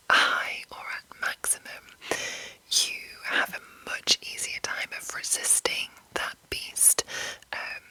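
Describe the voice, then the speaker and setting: A woman whispering an ASMR explanation in a breathy, informal, rambling and unhurried way. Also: sharp tone, poor audio. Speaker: ASMR host.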